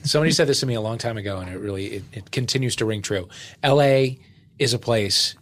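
A man co-hosting a podcast, joking and teasing in quick, playful banter. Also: mid-range voice. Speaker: podcast co-host. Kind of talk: casual podcast chat.

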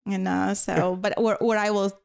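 A woman's voice: mid-range voice, little pitch movement, moderate pace, creaky, darkish tone, no echo, clean studio sound. Latvian-accented woman telling stories. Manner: rambling with frequent fillers and apologetic laughs. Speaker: guest. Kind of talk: casual conversational storytelling.

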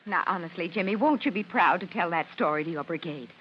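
A woman's voice: on the low side, lively intonation, quick pace, lightly acted. An actress playing a tender, earnest, fussing middle-aged mother in an old-time radio drama. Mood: proud. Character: tender, proud, easily hurt mother. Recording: muffled, hissy old radio recording.

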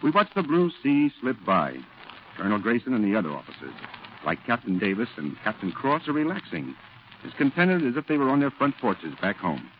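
Earnest chaplain, very animated intonation. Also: male voice, mid-range voice, brisk. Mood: calm, reflective. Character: calm, earnest male Marine chaplain, reflective narrator. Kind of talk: 1940s radio drama acting. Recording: tinny, noisy vintage radio broadcast.

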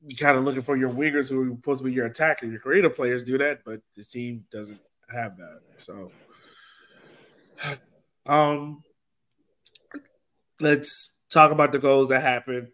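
A man's voice: mid-range voice, steady tone, a little slow, pauses often, fairly bright tone. Male sports podcast host, mid-range voice, loose and conversational, talking through a match. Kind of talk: casual sports podcast recap.

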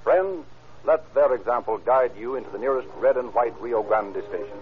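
A man announcing in a formal, emphatic 1930s radio style, delivering scripted narration and sponsor pitches. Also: normal speed, faint music behind. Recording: muffled, scratchy 1930s radio recording.

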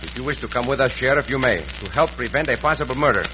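Old radio western: male actor as bold, courteous outlaw hero, Mexican accent, quick delivery, noisy vintage recording. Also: medium pitch, expressive, lo-fi sound, close to an everyday voice. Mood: urgent.

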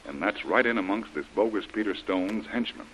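Low-voiced, decisive radio adventure hero. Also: male voice, animated, brisk, fairly bright tone. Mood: determined. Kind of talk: old-time radio adventure serial acting. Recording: hissy, noisy vintage radio recording.

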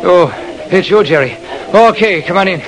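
A man playing an excitable, honest garbage collector in an old-time radio drama. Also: high-pitched, moderate pace, background noise, voice pushed up. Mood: eager, anxious. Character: excitable honest Irish-American garbage collector turned millionaire. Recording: vintage radio recording.

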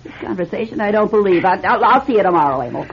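A woman's voice: mid-range voice, animated, fast, lightly acted. An actress playing a blunt, exasperated, nagging landlady in a radio drama. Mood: weary, blunt. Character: brusque, scolding landlady with a soft heart. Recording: dull, noisy old radio recording.

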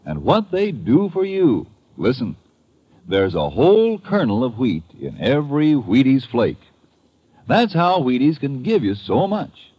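A man's voice: medium pitch, breathy edge, no echo. A man delivering an old-time radio advertisement with folksy, emphatic salesmanship. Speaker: commercial pitchman. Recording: dull, dry old radio recording.

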